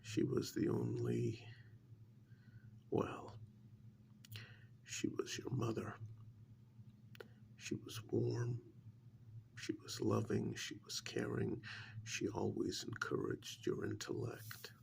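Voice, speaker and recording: A man acts out a government agent quietly interrogating someone in an ASMR role-play. His soft half-whisper is full of heavy vocal fry and sits fairly low. He is calm and condescending, picking out each phrase very slowly with long pauses. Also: exaggerated voice. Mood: coolly analytical.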